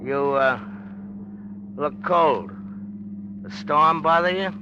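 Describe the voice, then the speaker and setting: A man's voice: fairly high pitch, slow. A man playing a cocky, needling, tough-talking newspaperman in a 1940s radio drama, slangy and smug. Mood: insinuating. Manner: slangy, smug, hard-boiled. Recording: dull noisy old radio recording.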